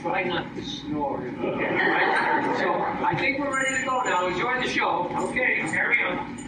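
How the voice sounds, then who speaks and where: High-voiced man making casual pre-show announcements. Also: lively intonation, normal speed. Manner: jokey, hesitant. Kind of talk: casual joking audience address. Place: live audience hall, heard from afar. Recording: distant, echoing, noisy live hall recording.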